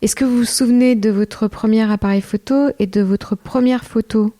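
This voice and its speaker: A woman hosting a radio portrait program, reading a scripted introduction and asking interview questions in a measured, composed way. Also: a little high, steady tone, warm tone. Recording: radio show with faint music.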